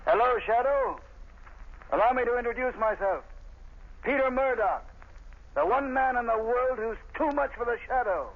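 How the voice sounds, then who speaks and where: A man's voice: very high voice, expressive, normal speed, long pauses, phone-quality sound, exaggerated voice. An actor playing a cruel, scheming male gang boss who taunts his captive, in 1930s radio acting. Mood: gloating. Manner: taunting, mocking. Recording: scratchy 1930s radio broadcast.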